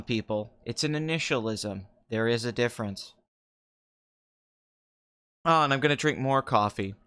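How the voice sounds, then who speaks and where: A man hosting a live stream podcast in a free-form, rambling chat, joking crudely, with a slightly rough voice. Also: medium pitch, bright, forceful tone.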